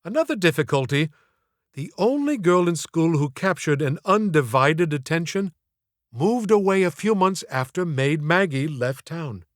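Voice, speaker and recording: A man reads a comic audiobook in a fairly high-set voice. His delivery is theatrical and wry, with mock-formal phrasing. The sound is very dry, free of room reflections. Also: expressive, unhurried, dry recording. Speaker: audiobook narrator and author. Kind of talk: comic scripted storytelling narration.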